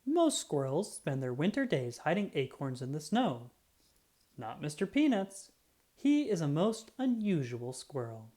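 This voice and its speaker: A woman reads a children's picture book aloud with a low, mellow voice. Her intonation is lively and animated, shaped simply and expressively for young listeners. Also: a little slow. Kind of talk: scripted children's storybook read-aloud.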